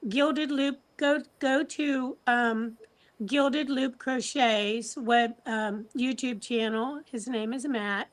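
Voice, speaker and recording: An American woman hosting a casual livestream chat, speaking in a hesitant, halting way. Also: a little high, poor audio.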